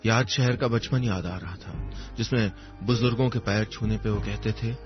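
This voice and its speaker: A man with a fairly low voice tells a dramatic first-person story. He is wistful and reflective, pausing between poetic phrases. The recording is a little dull, with music underneath. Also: fairly even intonation.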